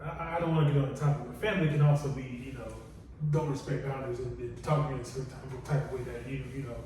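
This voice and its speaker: A man's voice: mid-range voice, fairly even intonation, very fast, a little mellow. A man on a podcast who talks bluntly and profanely, leaning on "you know what I'm saying" between thoughts. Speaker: podcast co-host. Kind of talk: casual podcast chat. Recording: echoey room, distant mic, faint noise.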